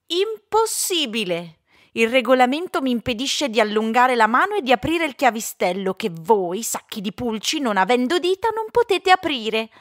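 A screechy, stubborn male chimpanzee from a storybook, acted by a woman. He taunts in a raised, shrill pitch. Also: very animated, moderate pace, dry recording, clean studio sound. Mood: mocking.

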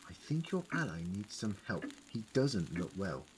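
Man acting, compassionate clever time-traveler, urgent, very lively pitch, audio drama, faint background noise. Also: moderate pace, low-quality recording. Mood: measured, wary.

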